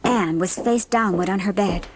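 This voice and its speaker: A woman's voice: medium pitch, moderate pace, heavy background noise. A woman reading scripted narration for a dramatised classic audiobook.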